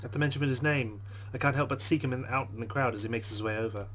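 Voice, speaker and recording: A man reading a visual novel aloud as a let's play host, in a plain, measured voice. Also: mid-range voice, a bit bright.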